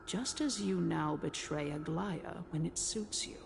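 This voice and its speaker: An imperious female elder of a council in a video game. Her voice is fairly low with plenty of gravel. She speaks formally and gravely, like a schemer giving an oration, over faint noise. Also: a little slow. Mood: probing, stern.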